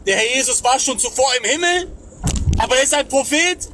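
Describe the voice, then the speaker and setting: A man's voice: extremely high pitch, expressive, brisk, background noise. A man in his thirties hosting a livestream, talking in a sarcastic, scornful way and reading the chat aloud, recorded close on a phone. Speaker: livestream host. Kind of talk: mocking livestream commentary. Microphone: phone camera close up.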